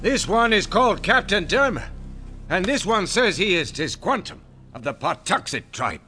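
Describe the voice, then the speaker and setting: High, sharp, aggressive warrior. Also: male voice, big pitch swings, normal speed, husky, faint music behind, full character voice. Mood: scornful, accusing. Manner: threatening, accusing. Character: hostile young Native warrior leader, aggressive and suspicious. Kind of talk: radio drama acting.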